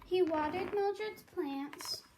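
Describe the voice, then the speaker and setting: A young girl reads a storybook aloud in a high voice. She goes slowly and carefully, sometimes halting over the words. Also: a little mellow, slight background noise. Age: child. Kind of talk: children's storybook read-aloud.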